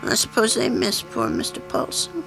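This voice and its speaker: A woman's voice: medium pitch, wildly swooping pitch, normal speed, gravelly, over music, heavily acted, poor audio. An actress playing an anxious, flustered elderly landlady with a hesitant manner in an old radio drama. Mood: wistful, troubled. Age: older. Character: anxious, fretful elderly landlady, reluctant but conscientious. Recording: vintage radio drama recording.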